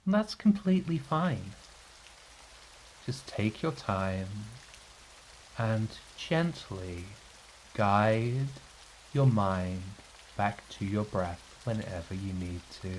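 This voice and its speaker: A man leading a guided meditation in a gentle, reassuring manner, leaving long pauses between short phrases. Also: fairly low pitch, lively intonation, slight background noise, low-quality recording. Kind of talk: guided meditation, soothing instruction.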